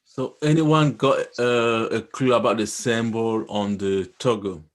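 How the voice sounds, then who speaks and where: A man's voice: mid-range voice, steady tone, a little slow. A man in a casual online chat, asking questions and reflecting on what he has learned.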